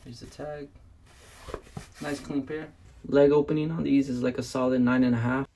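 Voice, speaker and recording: A young man with a voice raspy from illness, chatting casually to viewers as he reviews and styles clothes at home. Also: mid-range voice, level tone, moderate pace, warm tone, slight background noise. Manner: laid-back slangy talk to viewers. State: raspy from being sick. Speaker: fashion vlogger.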